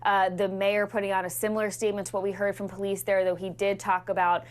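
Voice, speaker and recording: A woman reporting from the field for a news show, steady, with small hesitation fillers. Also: mid-range voice, fast. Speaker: news correspondent.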